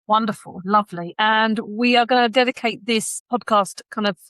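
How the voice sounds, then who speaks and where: A woman's voice: fairly high pitch, normal speed, a bit bright, no room sound. A woman hosting a podcast in a conversational, enthusiastic and effusive way. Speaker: podcast host.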